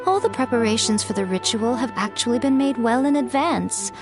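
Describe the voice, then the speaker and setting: A woman's voice: on the high side, fairly quick, close to an everyday voice. A woman voicing a sly, teasing fox-spirit shrine priestess who speaks coyly and gives orders with ease, in a video game. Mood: explaining. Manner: playful and coy, smoothly commanding. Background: game music.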